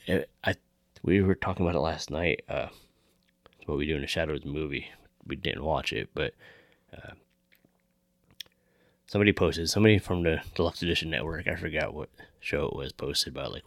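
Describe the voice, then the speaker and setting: A man's voice: a bass voice, a little fast, creaky, pauses often, no echo. A man co-hosting a casual chat about films, talking informally and swearing freely. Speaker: podcast co-host.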